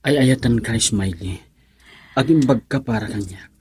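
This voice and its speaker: A man's voice: medium pitch, a little slow, darkish tone, husky. A man playing an earnest, lovelorn and self-pitying suitor in an Ilocano radio love drama, with plaintive, emotional delivery. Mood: heartbroken.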